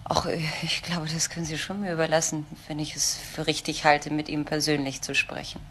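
An actress playing an earnest, idealistic social worker in a crime radio drama, protective and insistent. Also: female voice, deep voice, little pitch movement, moderate pace, a bit bright, slight background noise. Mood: firm, defensive.

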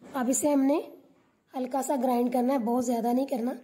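A woman hosting a cooking channel, calmly narrating a recipe step by step as a voice-over. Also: high-pitched, a little fast, slight background noise. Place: home kitchen.

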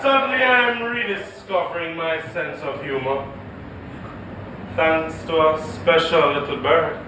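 A man's voice: on the high side, measured pace, lightly acted. Man acting a flustered husband, echoey stage. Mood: smitten, teasing. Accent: Jamaican. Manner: hesitant, stammering. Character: nervous, smitten married middle-aged husband. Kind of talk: live stage comedy-drama acting. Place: indoor theatre stage before an audience. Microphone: distant stage or camera mic. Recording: echoey theatre stage, distant mic, noisy.

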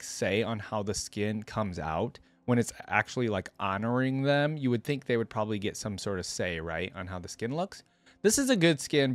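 A man's voice: mid-range voice, no room sound. A young adult man giving casual, chatty reaction commentary, thinking aloud and sharing his opinions as host. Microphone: close desktop microphone, headset on.

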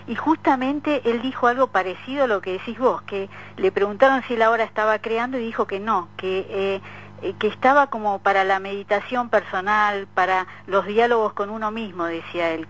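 A woman's voice: mid-range voice, brisk, fairly bright tone, slight background noise, poor audio. A woman with a Rioplatense Argentine accent, speaking as a radio host in a casual interview with a guest.

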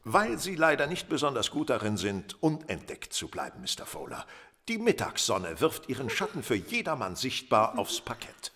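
An older actor playing a composed, courteous Victorian detective, precise and authoritative, in a German audio drama. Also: male voice, medium pitch, wildly swooping pitch, normal speed, creaky, sharp tone, full character voice. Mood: courteous, thoughtful.

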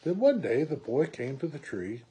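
A man in his sixties reading a children's picture book aloud at home with slow, deliberate, expressive phrasing. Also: fairly high pitch, husky, rough recording. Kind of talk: read-aloud children's story. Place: small room at home, indoors.

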